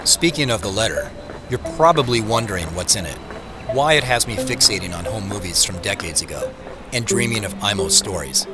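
An actor plays a self-conscious, harried man in an audio drama. His voice sits on the low side, with a wry, confiding manner. Music and city sound play behind him. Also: expressive, brisk, lightly acted. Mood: wry, reflective. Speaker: narrator and lead actor. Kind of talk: first-person audio drama narration and acting. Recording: produced audio drama with music, street ambience.

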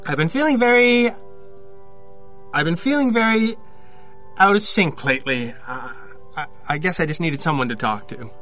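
An actor playing an anxious, obsessive loner inventor in an audio drama, speaking in a halting, self-doubting, agitated way. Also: male voice, fairly high pitch, lively intonation, a bit bright, soft background music. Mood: troubled, hesitant. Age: 50s.

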